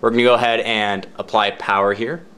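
Man, low-pitched voice, casual tutorial narration, informal, explanatory, step-by-step. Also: little pitch movement, a little fast, bright, forceful tone. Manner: informal, explanatory, repeats key points for clarity. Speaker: product demonstrator.

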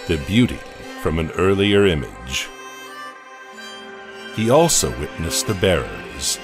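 A man narrating a horror audio comic in a slow, measured, ominous way. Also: sits low. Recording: narration over loud music.

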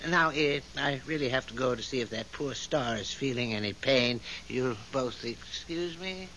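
An actor plays a male God for seventies radio drama. He is mild and unhurried, musing hesitantly and politely dodging answers. The old recording carries a light hiss. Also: mid-range voice, close to an everyday voice, low-quality recording. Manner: musing, hesitant, gently evasive. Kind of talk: 1970s radio drama acting.

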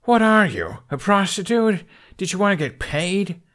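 A man's voice: sits high, very animated, measured pace, fairly bright tone, pitched above the performer's own voice, heavily acted. A man voicing an embittered, sarcastic young male Viking dragon rider in an audiobook story. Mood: scornful. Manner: biting, sarcastic.

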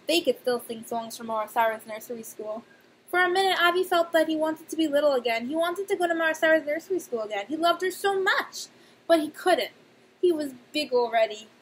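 A young woman, a nursery teacher, reading aloud and talking to young children in simple, expressive speech with emphatic pauses. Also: high voice, poor audio. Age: young adult. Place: small indoor room. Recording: clear background noise, webcam-style room recording.

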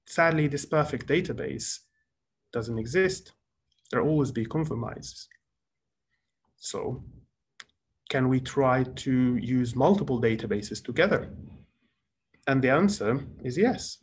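Calm man presenting over a video call. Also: mid-range voice, slow, long pauses, slightly rough. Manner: calm, explanatory. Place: small office indoors. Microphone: webcam on a video call.

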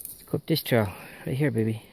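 A man's voice: medium pitch, fast, darkish tone. A man hiking a rocky mountain slope, talking to his dog in short coaxing phrases, recorded outdoors on a body-worn camera. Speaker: hiker filming his dog. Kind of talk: casual talk to his dog while hiking. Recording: outdoor recording with clear background noise.

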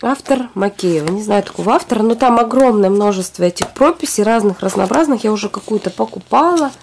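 A woman's voice: mid-range voice, expressive, a little fast, gravelly, a little mellow, a little hiss or hum. Chatty woman with a cold. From Russia. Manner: chatty, rambling, talks to herself. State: hoarse from a cold. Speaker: shopping haul vlogger. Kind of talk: casual product haul chat. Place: home, recording products on a fluffy rug.

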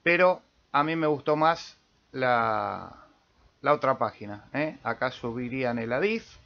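A man with an Argentine Spanish accent giving an informal software tutorial, thinking aloud as he demonstrates. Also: medium pitch, lively intonation, a little slow, gravelly, highs cut off. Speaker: amateur radio video tutorial host.

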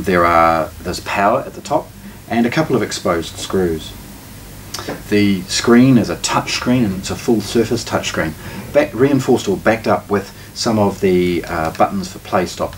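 A middle-aged man, giving an unscripted product unboxing commentary as a presenter. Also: low voice. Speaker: shop presenter, product reviewer. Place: small indoor listening room with shelves. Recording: distant camera mic, background noise, clicks.

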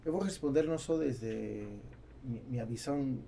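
A man's voice: medium pitch, normal speed, creaky, warm tone, a little hiss or hum. A man in his forties with an Argentine Spanish accent, a native Spanish speaker who mixes Spanish words into casual podcast talk. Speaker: guest entrepreneur. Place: small foam-padded indoor podcast studio. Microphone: studio mic on boom arm.

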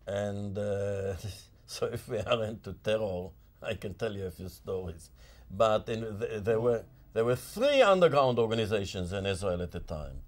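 A man's voice: fairly low pitch, expressive, moderate pace. An older man, born in Germany and raised in Palestine, recalls his childhood in an interview, telling it with animation and plenty of fillers and restarts. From born in Germany, grew up in Palestine. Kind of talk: recollecting in an interview. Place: indoor office with shelves.

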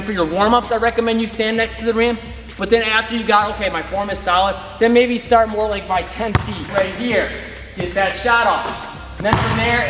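Male coach, 30s, high voice, casual demo talk, roomy gym sound. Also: fairly bright tone. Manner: casual, talks while demonstrating moves. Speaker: basketball coach. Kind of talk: instructional video. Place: large indoor gym. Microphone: far camera. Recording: echoey gym with long reverb.

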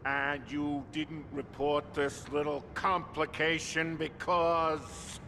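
Pompous higher-pitched vigilante leader. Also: male voice, flat delivery, slow pace, bright, forceful tone, slight background noise, heavily acted. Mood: stern, exasperated. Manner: pompous, mock-formal, military-style briefing. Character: bossy, self-important vigilante leader, adult man. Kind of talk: video game cutscene acting.

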